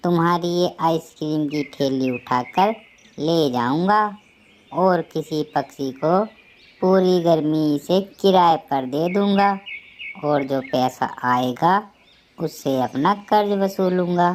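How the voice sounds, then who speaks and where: Low, bossy crow moneylender voiced by a woman. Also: slow pace, darkish tone, a little hiss or hum. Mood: threatening. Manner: bossy, demanding. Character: threatening male crow moneylender, gruff and demanding. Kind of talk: Hindi children's cartoon voice acting.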